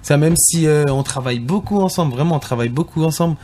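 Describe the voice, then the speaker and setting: Un homme originaire de Paris, invité d'une émission de radio, parle de façon décontractée et répète volontiers ses mots. Sa voix est un peu haute, et un bruit de fond bien audible traîne dans le studio. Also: male voice, on the high side, fast, mellow, a little hiss or hum. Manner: easygoing, repeats words, laughs often. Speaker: rap artist guest. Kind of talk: casual radio interview chat.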